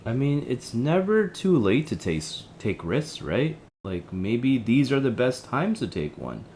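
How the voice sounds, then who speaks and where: A man's voice: mid-range voice, big pitch swings, a little slow, darkish tone, a little hiss or hum. A man playing an easygoing, empathetic, slightly awkward young helpline counselor in a casual audio drama. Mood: probing, encouraging. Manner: casual, hesitant, slangy. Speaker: helpline host.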